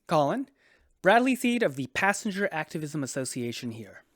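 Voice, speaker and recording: A man's voice: a little high, big pitch swings, normal speed, fairly bright tone, no room sound, studio quality. An actor playing an officious, self-important male passenger activist in a sci-fi radio drama, speaking emphatically and suspiciously. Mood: conspiratorial, determined.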